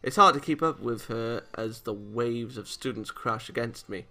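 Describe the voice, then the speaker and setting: Bright-voiced man reading a visual novel aloud. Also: mid-range voice, normal speed, faint music behind. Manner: animated, exasperated outbursts. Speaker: let's play host.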